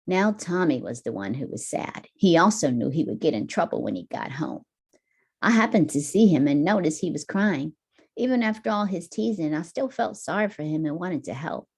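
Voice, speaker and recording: Low, mellow woman reading a picture book. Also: animated, normal speed, breathy edge. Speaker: teacher reading aloud to children. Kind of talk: expressive picture book read-aloud.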